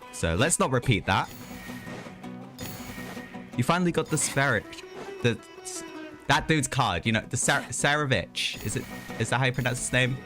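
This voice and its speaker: A man chatting casually and ramblingly as he streams a video game, talking to his viewers. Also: on the low side. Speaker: live streamer. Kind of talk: casual gaming livestream chat. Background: music.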